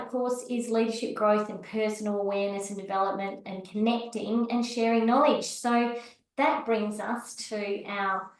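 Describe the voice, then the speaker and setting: A woman's voice: on the high side, heavy reverb, distant mic, poor audio. A woman hosting an online networking meeting, encouraging and inviting each member to speak in turn. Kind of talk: hosting a video call networking session. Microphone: video call.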